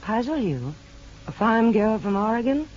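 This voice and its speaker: An actress in a 1950s radio drama playing an earnest, hesitant young hospital aide from a farm. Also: female voice, fairly high pitch, sing-song, a little mellow. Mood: guarded, earnest. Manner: hesitant, halting, restarts phrases. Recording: muffled, noisy old radio broadcast.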